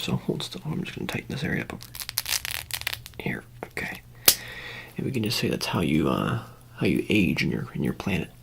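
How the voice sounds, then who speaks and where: A soft-spoken ASMR roleplayer, sometimes whispering, playing an offhand handler in a hesitant, rambling, casually reassuring way. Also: deep voice, creaky, noisy background. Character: offhand handler preparing an alien for public exposure.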